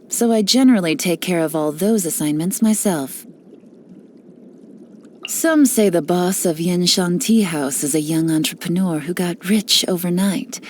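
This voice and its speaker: Woman as a sly, teasing game spy, low voice, relaxed and in control, faint music under it. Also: natural voice. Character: cool, teasing female spy, sly and unhurried. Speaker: game character voice lines. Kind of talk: studio game voice-over.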